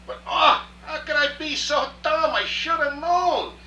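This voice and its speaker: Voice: high voice, a little slow, bright, forceful tone, lo-fi sound. An actor playing a wisecracking, streetwise genie with a New York accent and slangy, chummy patter in a live radio drama. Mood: brash, chummy. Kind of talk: stage radio drama acting. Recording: roomy far-off rehearsal recording, faint hiss.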